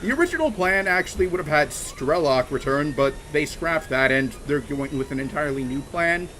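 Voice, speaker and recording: A man chatting casually on a game livestream, talking in a halting, rambling way with many restarts and fillers. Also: fairly high pitch, expressive, a bit bright, a little hiss or hum, rough recording. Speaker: streamer. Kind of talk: casual livestream chat while gaming.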